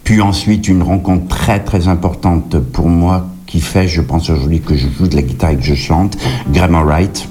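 Deep mellow-voiced man, hesitant storyteller. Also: normal speed. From Kabylie, Algeria. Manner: hesitant, repeats words and restarts. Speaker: singer-songwriter guest. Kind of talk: relaxed interview answers, anecdotal.

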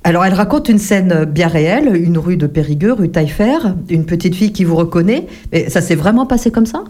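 A woman with a slightly low, mellow voice hosting an interview. She asks polite, carefully measured questions, pausing often as she goes. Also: lively intonation. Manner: courteous, measured questions with many "euh" pauses. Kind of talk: radio-style interview.

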